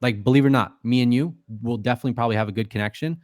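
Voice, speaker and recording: A man's voice: medium pitch, very fast, a little mellow, no echo, clean studio sound. A man talking as a podcast guest in loose, casual speech, full of fillers and swearing. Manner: loose and profane, many fillers. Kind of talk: casual interview talk.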